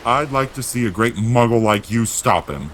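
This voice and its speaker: A man playing a big, gruff, kindly, emotional giant of a man in an audio drama, speaking bluntly in rustic dialect with exclamations. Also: medium pitch, expressive, normal speed, fairly bright tone, slight background noise, natural voice. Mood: gruff, matter-of-fact.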